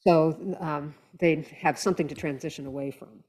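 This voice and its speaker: A woman's voice: low-pitched, fairly even intonation, normal speed, darkish tone, low-quality recording. A woman speaking as a podcast panelist in conversational discussion, with thoughtful, hesitant phrasing.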